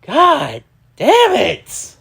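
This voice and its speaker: A man's voice: very high-pitched, very slow, creaky. A man, co-hosting a casual sports podcast and exclaiming often. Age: 30s. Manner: excitable, exclaiming. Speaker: podcast co-host.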